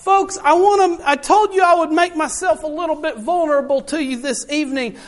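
High-voiced man preaching earnestly. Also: fairly quick. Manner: earnest, repetitive, often addresses listeners as folks. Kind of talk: sermon.

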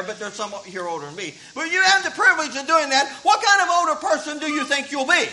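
A man's voice: extremely high pitch, sharp tone, low-quality recording. A man, preaching a sermon in a folksy way that repeats words as he starts thoughts. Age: 50s. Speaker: pastor.

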